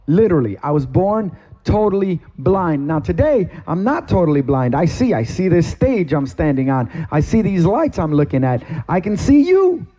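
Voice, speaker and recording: A middle-aged man from Cuba, a pastor giving a sermon testimony from a stage, with slow, deliberate, emphatic phrasing. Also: a little high, sing-song, dark tone. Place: stage in a meeting hall.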